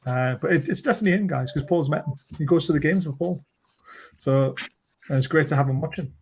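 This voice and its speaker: A man's voice: on the high side, quick pace, slightly rough. A young man hosting a casual fan livestream, reading out comments in a jokey, self-deprecating way and laughing often. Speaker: livestream host.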